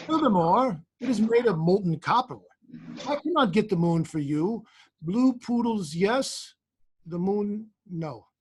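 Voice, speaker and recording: An amateur reader acting a large, self-important royal chamberlain with a husky voice. He speaks deliberately and fussily, as a storybook courtier addressing his sovereign. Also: mid-range voice, animated, darkish tone, low-quality recording. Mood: firm, indignant. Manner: pompous, deliberate, enumerating. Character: pompous, fussy large male royal chamberlain.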